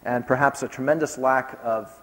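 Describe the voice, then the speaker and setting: A man's voice: mid-range voice, steady tone, normal speed. A man recounting a trip abroad, telling the story in an earnest, emphatic way. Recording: long hall reverb.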